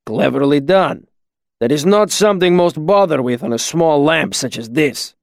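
A man voicing a huge, shaggy master artificer in an audiobook, stern and exacting, speaking slowly and formally with grave deliberation. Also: a little high, animated, vocal fry, no room sound, clean studio sound, voice pushed up. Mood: measured appraisal. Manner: slow, grave, formal, oddly ordered phrasing. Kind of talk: audiobook character dialogue.

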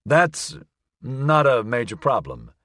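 A man voicing a practical, smooth-talking male private detective, brisk and wry, in an audiobook. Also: medium pitch, very animated, a bit bright, dry recording, studio quality. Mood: matter-of-fact. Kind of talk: audiobook character acting.

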